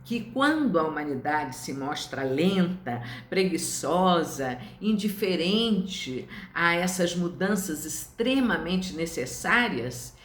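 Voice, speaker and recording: Slow-speaking older Brazilian woman, echoey room. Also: lowish voice, sing-song, a little hiss or hum. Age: 70s. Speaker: spiritist study lecturer. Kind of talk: informal religious lecture to online viewers.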